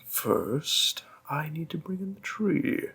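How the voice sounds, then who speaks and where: A man playing a doting demon boyfriend in an intimate roleplay audio, speaking softly to the listener with a husky, gravelly voice and lingering pauses. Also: fairly high pitch, expressive, exaggerated voice. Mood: playful, persuasive. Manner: slow, deliberate, hushed, with lingering pauses. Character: doting, gentle male demon boyfriend, coaxing and protective.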